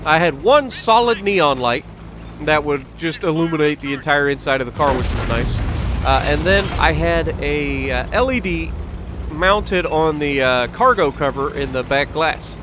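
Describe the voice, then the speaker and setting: A man with an American accent and a slightly high voice chats casually as a gaming video host. He rambles conversationally between storytelling and play commentary. There is distinct noise behind his voice throughout. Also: animated, measured pace, a bit bright.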